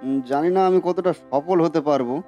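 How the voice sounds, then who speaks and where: A man's voice: on the high side, brisk, mellow, highs cut off, faint music behind, natural voice. A man voicing a good-natured, modest food seller who talks earnestly and hesitantly in a cartoon story. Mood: worried, hesitant. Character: humble, good-natured biryani seller and husband. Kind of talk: Bengali cartoon story voice acting.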